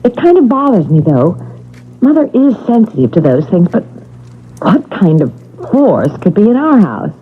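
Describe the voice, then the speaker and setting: An actress playing an anxious, affectionate young wife in a 1970s radio drama, hesitant and fretful. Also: female voice, mid-range voice, big pitch swings, normal speed, natural voice. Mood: puzzled, uneasy. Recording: tinny vintage radio recording, faint noise.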